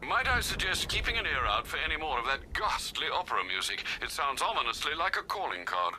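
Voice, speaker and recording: Older English butler for a game: very sharp, forceful tone, lively intonation, courteous and dry. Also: male voice, on the high side, slight background noise, full character voice. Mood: dryly concerned. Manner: formal, measured, dryly polite. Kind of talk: scripted video game dialogue.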